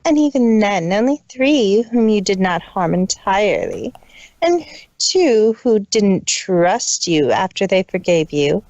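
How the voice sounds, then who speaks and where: A woman voicing a cruel, scheming cartoon pony sister with a deliberate, ice-cold, menacing delivery. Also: mid-range voice, sing-song, a little mellow, no room sound, poor audio. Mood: scornful.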